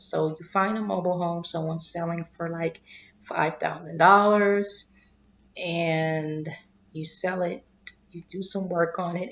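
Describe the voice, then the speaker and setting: A woman with a lowish, gravelly voice talks very slowly through an explainer, her voice fading and hoarse. The recording sounds dull and lo-fi. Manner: slow, deliberate, repeats "okay?" to check in. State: losing her voice, clearing throat. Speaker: investing vlogger. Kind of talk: casual explanatory monologue.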